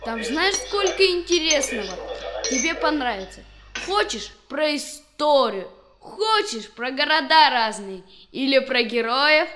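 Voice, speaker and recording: A woman's voice: sits high, lively intonation, measured pace, pushed and bright, full character voice. A woman playing a young, cheeky, eager grandchild in an audio drama, speaking casually.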